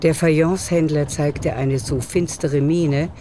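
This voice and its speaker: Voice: medium pitch, fairly even intonation, takes it slowly, mellow, slight background noise. A narrator reading a literary radio play in scripted storytelling style. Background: music.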